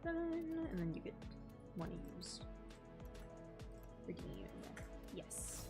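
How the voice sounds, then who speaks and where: A woman's voice: high voice, sing-song, slow pace, loud music behind the voice. Congested young woman streamer, slangy chatter. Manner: chatty and slangy, swears casually. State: congested, a little nasal. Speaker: game streamer. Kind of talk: casual gameplay chat to stream viewers.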